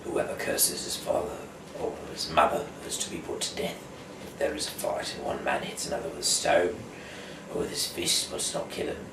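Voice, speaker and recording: Casting: man from the UK, very low voice, halting amateur reader, recorded at a distance in a reverberant room. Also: level tone. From United Kingdom. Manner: halting, stumbles over words. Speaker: host, amateur Bible reader. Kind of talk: casual rambling talk, then reading scripture aloud. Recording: echoey room, distant mic, clear background noise.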